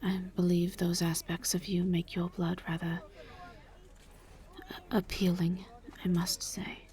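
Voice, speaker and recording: Woman voicing a reserved female vampire, audio drama, low mellow tone, even delivery, hushed, halting, formal. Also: lightly acted. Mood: hesitant, tender. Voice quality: breathy, hushed. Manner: halting, careful, formal diction without contractions. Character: gentle, reserved female vampire travelling with a knight. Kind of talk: roleplay audio drama addressed to the listener.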